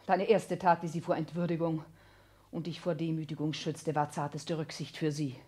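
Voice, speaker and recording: A woman's voice: deep voice, level tone, moderate pace, warm tone, husky. An actress in a 1960s German radio drama playing a proud, scornful woman with an intense, biting, theatrical delivery.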